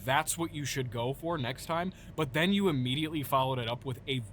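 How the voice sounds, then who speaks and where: Guy playing a fed up superhero questioning someone in a comedy skit, normal pitch, deadpan n chatty, slight rumble. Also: natural voice. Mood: deadpan criticism. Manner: deadpan, halting, conversational. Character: exasperated, reasonable male superhero vigilante interrogator. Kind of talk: improvised comedy audio sketch.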